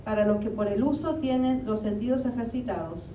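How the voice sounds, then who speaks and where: Woman preacher, high mellow voice, chatty sermon full of questions, roomy hall with the mic set back. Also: brisk. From Argentina. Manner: conversational preaching with rhetorical questions. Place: church hall. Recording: echoey hall, mic at a distance, faint rumble.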